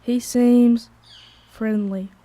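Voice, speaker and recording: A woman's voice: fairly high pitch, steady tone, very slow, noisy background. A teenage girl in an amateur school radio play, playing a polite, dutiful young servant.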